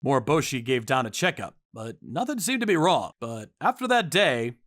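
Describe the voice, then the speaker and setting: A man narrating a manga dub story in the first person with earnest, expressive delivery. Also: medium pitch, normal speed. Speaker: narrator and voice actor.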